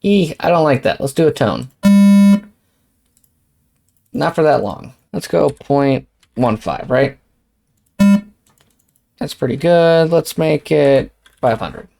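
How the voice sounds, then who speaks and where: A man hosting a casual software tutorial, informally thinking aloud as he talks himself through each step. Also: mid-range voice, lively intonation, slow, gravelly, pauses often, loud music behind the voice. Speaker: tutorial host.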